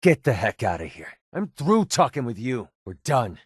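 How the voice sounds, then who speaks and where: Game companion: a guarded young American gun-for-hire, wry and conversational, medium pitch, dry studio sound. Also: male voice, sing-song, normal speed, slightly rough, no echo. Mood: angry, hurt. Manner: sardonic, conversational, hesitant asides. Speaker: video game companion character. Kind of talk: video game voice acting.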